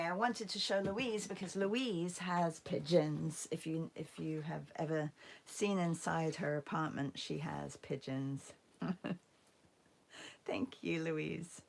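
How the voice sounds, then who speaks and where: A woman with a hoarse, croaky voice after a concert, chatting casually with viewers as she hosts an art livestream. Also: lowish voice. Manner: chatty, greets viewers by name. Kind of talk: casual livestream chat while painting.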